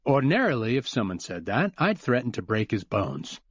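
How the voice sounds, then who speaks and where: A man's voice: mid-range voice, big pitch swings, a little fast, husky, no room sound, muffled recording. A man voicing a blunt, defiant father with a wry, snarling edge, in an audiobook.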